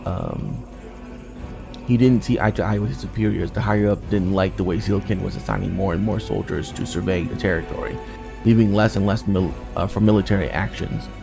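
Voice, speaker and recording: Blunt, talkative game soldier, read by a man, low and gravelly, music underneath. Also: fairly quick. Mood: blunt gossip. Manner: colloquial, blunt. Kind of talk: game dialogue read aloud.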